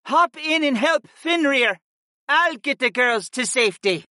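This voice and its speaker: Older shapeshifter matriarch, played by a man: high, loud, urgent, take-charge, protective, fantasy audiobook. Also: lively intonation, measured pace, no room sound, clean studio sound, full character voice. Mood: urgent, decisive. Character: brisk older hawk woman, protective mother-in-law. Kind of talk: dramatic audiobook character acting.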